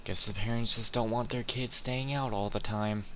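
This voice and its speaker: A man's voice: on the low side, fairly even intonation, normal speed, background noise. A man giving casual, informal playthrough commentary as a gaming host, with a wry manner. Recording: loud game music behind voice. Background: video game music and dialogue.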